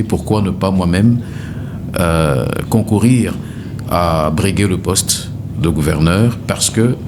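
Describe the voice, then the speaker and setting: A middle-aged man with a very low, gravelly voice answers an interviewer's questions in a formal, measured way, often stopping to hesitate. The recording has a clear layer of background noise. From DR Congo, Kasai. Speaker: gubernatorial candidate.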